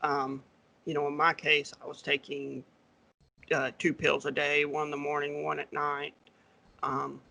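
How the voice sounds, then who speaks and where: Gravelly-voiced man, relaxed and hesitant. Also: on the high side, rough recording. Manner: relaxed, hesitant with many fillers. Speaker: accountant guest. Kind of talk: casual podcast interview.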